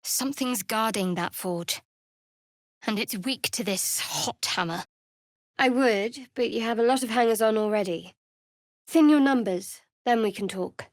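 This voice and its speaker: Woman acting a guarded, sardonic young cleric, higher-pitched. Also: no room sound, natural voice. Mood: wry, composed. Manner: dry, measured, wry delivery. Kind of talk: fantasy video game voice acting.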